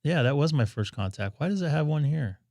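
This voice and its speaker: A man hosting a ham radio live stream, chatting and thinking aloud as he operates, his voice going hoarse. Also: fairly high pitch, expressive, fairly quick, darkish tone, dry recording, clean studio sound. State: losing his voice.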